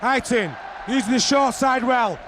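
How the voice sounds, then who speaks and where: A man commentating on rugby league with a very high voice and an unhurried pace that rises into shouting whenever the play heats up. A roaring crowd sits behind him in an archive broadcast. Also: background noise. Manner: shouting excitedly over the action.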